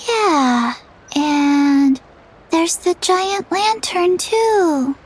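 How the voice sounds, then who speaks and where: A woman voicing a gentle, earnest, forgetful small girl in a video game. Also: extremely high pitch, lively intonation, drawn-out words, soft background music, full character voice, poor audio. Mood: gentle reassurance.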